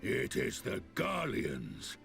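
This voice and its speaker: An actor playing a proud, grave beastman warrior chief in a video game, speaking formally with a gravelly growl. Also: medium pitch, huge pitch swings, a bit bright, soft background music, full character voice. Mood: resolute. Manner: slow, stately, formal declamation.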